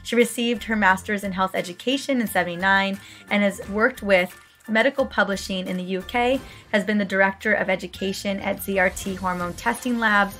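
A woman hosting a podcast interview, her voice raspy and hoarse from a book tour, talking in an effusive, gushing way. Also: medium pitch, a little fast, fairly bright tone, faint music behind. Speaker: podcast host.

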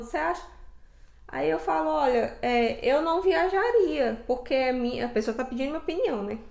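Higher-pitched Brazilian woman, echoey room. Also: gravelly, a little mellow. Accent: Brazilian Portuguese. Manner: conversational, colloquial, explanatory. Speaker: travel vlogger. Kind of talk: casual chat to camera. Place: indoor living room, seated on sofa. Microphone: lapel mic.

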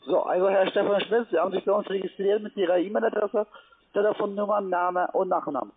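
A man's voice: high-pitched, steady tone, a little fast. A man speaks as a call center agent. The sound comes through a thin, narrowband telephone line. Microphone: phone call. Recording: tinny narrowband phone line.

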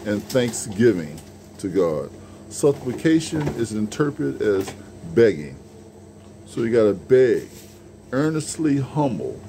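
A man's voice: medium pitch, warm tone, slight background noise. A middle-aged man giving an informal, earnest sermon on prayer, talking into a phone held at arm's length in a small shelved room. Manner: earnest, repetitive, conversational preaching. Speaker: preacher. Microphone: phone camera at arm's length.

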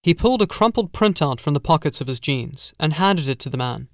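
Higher-voiced man narrating gothic horror. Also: expressive, a little fast, no echo, studio quality. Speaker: audiobook narrator.

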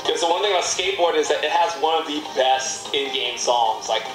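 A man chatting excitedly while streaming games, animated and laughing often. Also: on the high side, brisk. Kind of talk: livestream gameplay chatter. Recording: stream clip played in an echoey hall over loud music.

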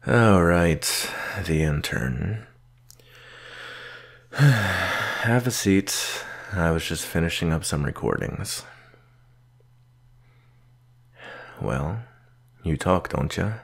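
A man playing a stern, solitary research doctor in an audio roleplay, speaking in a measured, dry, curt manner with a gravelly voice. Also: sits low, lively intonation, exaggerated voice. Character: gruff male researcher doctor, solitary, stern but patient mentor. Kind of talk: slow audio roleplay acting addressed to the listener.

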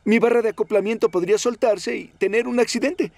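Un actor de acento latinoamericano interpreta a una locomotora veloz, entusiasta pero prudente y vacilante, en una caricatura infantil. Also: male voice, high-pitched, steady tone, a little fast, vocal fry, a little mellow, dry recording. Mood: worried. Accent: Latin American. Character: fast streamlined engine, eager but anxiously cautious. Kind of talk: animated children's cartoon acting.

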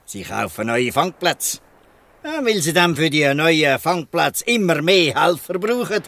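A man's voice: a little high, fairly bright tone. A man with a Swiss German accent playing a proud, protective old free fisherman in a radio play. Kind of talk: dialect radio play acting.

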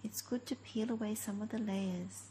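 Mellow-voiced woman guiding a meditation. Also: medium pitch, fairly even intonation, a little hiss or hum, low-quality recording. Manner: gentle, unhurried, with long pauses between short phrases. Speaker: meditation teacher. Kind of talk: guided meditation, slow spoken instruction.

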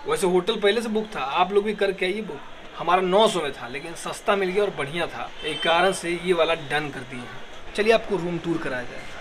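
A man's voice: on the high side, a little fast, poor audio. Chatty young man vlogging on a phone. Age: 20s. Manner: chatty, addresses viewers directly. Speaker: travel vlogger. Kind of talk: casual travel vlog narration. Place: outdoors at a station and in a small hotel room. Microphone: phone camera held at arm's length.

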